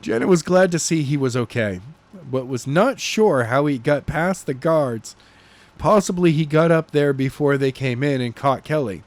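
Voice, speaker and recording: A man with a slightly high voice reads a novel aloud as its narrator. His delivery is animated and homemade rather than polished. He stumbles over phrases and corrects himself as he goes. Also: no room sound. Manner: halting, stumbling read with self-corrections. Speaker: narrator reading a novel chapter. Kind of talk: animated amateur audiobook narration.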